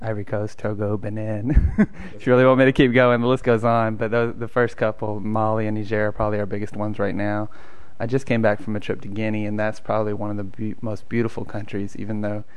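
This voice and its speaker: Young guy from argentina, low monotone voice, rambles along with lots of ums. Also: fast talker, hardly any pauses, smooth, no echo. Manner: relaxed, rambling, many fillers. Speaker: mission counselor. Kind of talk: conversational interview answers.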